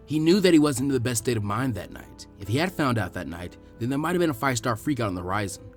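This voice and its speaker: A man's voice: medium pitch, lively intonation, fast, faint music behind. A man narrating fan fiction as a scripted audiobook reading for his channel.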